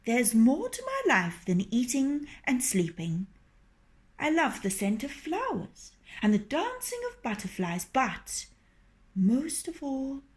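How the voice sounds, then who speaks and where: A woman voicing a sly male fox in a storybook reading, coaxing and flattering. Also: on the high side, pitched above the performer's own voice. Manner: sweet-talking and coaxing.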